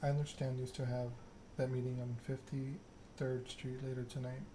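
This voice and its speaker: A man plays a proud, scheming game vampire, delivering a self-important monologue in ASMR style. The pitch is middling and very level, and clear noise sits behind the voice. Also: level tone, moderate pace, lightly acted. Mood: smooth, persuasive. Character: proud, scheming vampire aristocrat telling their story. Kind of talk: ASMR read-aloud of game dialogue.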